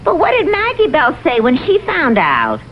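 High, swooping, doubting cartoon lady. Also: huge pitch swings, moderate pace, full character voice. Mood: doubtful. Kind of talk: 1960s TV cartoon sitcom acting. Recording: very noisy, dull vintage TV audio.

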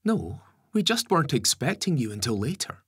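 A man acts out a mild-mannered, wry woman, the mum in a family story. The voice is raised in pitch with a soft husky edge, on a dry recording. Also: big pitch swings, normal speed, no room sound, full character voice. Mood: surprised. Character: Lisa, friendly, wry adult mum.

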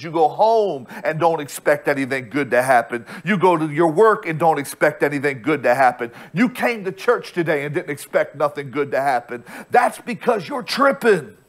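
A man in his thirties preaching a sermon as pastor from a church stage, repeating his points with emphasis and frequent restarts. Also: fairly high pitch. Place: church stage with bright screen backdrop.

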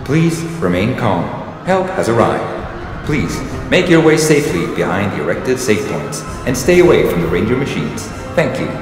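A man plays a polite, procedural announcer in an audio drama, formal and measured. The voice is fairly low with lively intonation. It booms from far off with heavy echo, music behind it. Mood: calm, official. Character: calm official public-address announcer, polite and procedural. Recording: echoing hall, distant, music behind.

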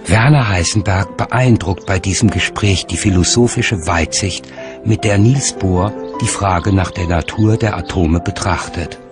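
A man narrates a documentary from a script. His delivery is measured and articulate in a lowish register, and music plays clearly underneath.

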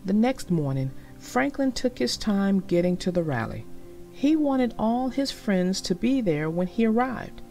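A woman narrator reading aloud for young children in a deliberate, expressive way. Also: medium pitch, soft background music.

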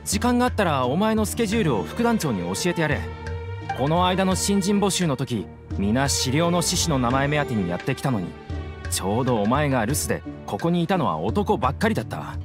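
A man playing an earnest, gruff-tempered mercenary in an anime game, blunt in manner and quick to take offense. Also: on the high side, sing-song. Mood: reproachful. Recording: faint music behind the voice.

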